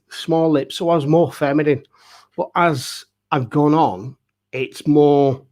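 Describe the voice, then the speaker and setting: A man's voice: a little high, darkish tone. A middle-aged man, telling anecdotes casually and self-deprecatingly as an interview guest. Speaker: pantomime dame performer, interview guest.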